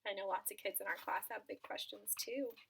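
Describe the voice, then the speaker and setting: A woman's voice: medium pitch, steady tone, a little fast, creaky, fairly bright tone, breathy edge. A woman teacher reading a children's storybook aloud expressively, with asides spoken directly to young listeners.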